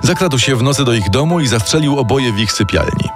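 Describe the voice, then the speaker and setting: A man's voice: medium pitch, expressive, quick pace. Man narrating true crime over music. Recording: music playing underneath.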